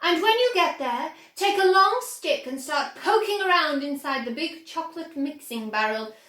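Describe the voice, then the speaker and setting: A woman voicing an eccentric, flippant man from a children's storybook, brisk and playful. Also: high voice, expressive, sharp tone, reverberant.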